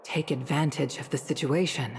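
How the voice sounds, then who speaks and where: A woman acting a dignified, caring mature female official in a video game, speaking in a solemn, deliberate and restrained way. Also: sits low, fairly even intonation, darkish tone, slight background noise, natural voice. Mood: grave, supportive. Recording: long reverberant hall echo.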